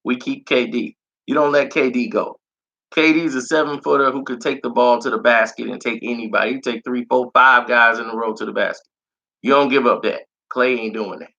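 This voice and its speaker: A man with a raspy voice, a little hoarse as he recovers from being sick, giving loose, slangy sports opinions in a casual chat. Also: mid-range voice, a little fast, sharp tone. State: recovering from illness, slightly hoarse. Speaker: sports commentary vlogger. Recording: dull lo-fi sound.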